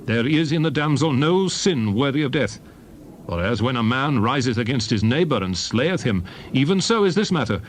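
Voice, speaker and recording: A man reads old scripture aloud in a solemn, dramatized style. His mid-pitched voice rises and falls widely through measured, declarative phrases. Faint background ambience sits behind the reading. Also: sing-song, slight background noise. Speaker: Bible reader.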